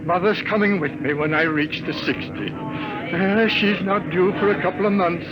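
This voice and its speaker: A man's voice: high voice, moderate pace, highs cut off, close to an everyday voice. An actor playing a meek but suspicious common man in a 1950s radio drama. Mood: meek, resigned. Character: meek, suspicious male commoner devoted to his mother. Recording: very noisy old radio recording.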